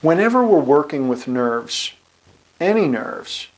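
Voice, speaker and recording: A man, a chiropractor, giving an explanatory talk with slides, measured and slowing on key terms. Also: fairly high pitch, big pitch swings, poor audio.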